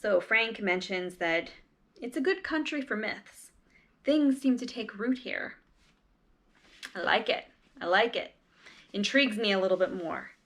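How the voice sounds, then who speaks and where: A woman's voice: medium pitch, expressive, a little slow, long pauses, fairly bright tone. A woman casually recapping and reading along with a book, talking in a conversational way with wry asides. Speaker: read-along host.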